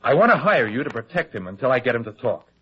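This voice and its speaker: An actor playing an anxious, colloquial, fast-talking newspaper reporter in old-time radio detective style. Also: male voice, medium pitch, slightly rough. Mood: eager, conspiratorial. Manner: colloquial, excitable, hesitant when pressed. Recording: dull, lo-fi old radio drama recording.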